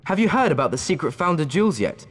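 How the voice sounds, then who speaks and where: A cheeky deal-making schoolboy from a video game. The fairly high voice moves about in lively swings and echoes with long reverb. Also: male voice, quick pace. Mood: keen.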